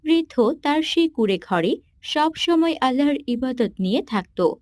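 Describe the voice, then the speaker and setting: A woman's voice: sits high, lively intonation, unhurried, dry recording, studio quality. A woman reading scripted narration for a cartoon moral story.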